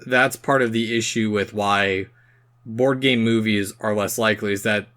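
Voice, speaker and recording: A man's voice: fairly low pitch, fairly even intonation, moderate pace, a bit bright. A man co-hosting a podcast, casually discussing films and giving his opinions. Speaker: podcast co-host.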